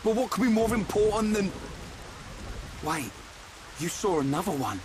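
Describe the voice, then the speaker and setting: A hearty, blunt young fighter in a game cutscene. His pitch is a little high for a man. Clear rain-like noise runs behind the voice. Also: expressive, normal speed. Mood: surprised. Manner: blunt, informal. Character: brash, hearty young male fighter, eager for battle. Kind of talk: video game cutscene voice acting.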